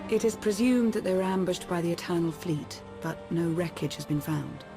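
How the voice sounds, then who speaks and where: Woman acting low voiced sith advisor in a video game, composed n grave, formal measured briefing, loud score behind her. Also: lightly acted. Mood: matter-of-fact. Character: composed, grave female Sith advisor recounting a lost war. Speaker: military and intelligence advisor. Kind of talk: scripted video game cutscene dialogue. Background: prominent music.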